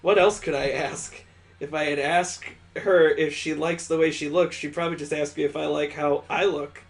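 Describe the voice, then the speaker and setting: A man reads a visual novel aloud as a gaming host. His voice sits a little high. He narrates expressively and slips into casual commentary between lines. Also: fairly even intonation, normal speed, vocal fry.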